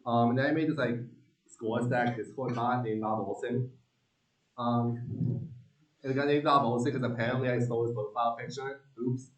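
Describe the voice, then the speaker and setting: A young man from Long Island giving a relaxed, joking student team presentation with a lot of ums. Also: medium pitch, normal speed, roomy sound, recorded from a distance, low-quality recording. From Long Island, New York. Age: young adult.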